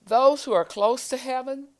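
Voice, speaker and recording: Woman reading as a high-minded storybook heron, unhurried. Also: a little mellow. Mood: instructive. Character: advice-giving female heron, high-minded.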